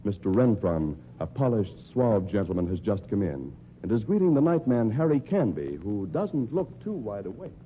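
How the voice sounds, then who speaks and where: A man's voice: fairly low pitch, sing-song, normal speed, few pauses, slight background noise. Theatrical old-time radio male announcer, tinny. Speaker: announcer and narrator. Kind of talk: 1940s-style radio drama scripted narration.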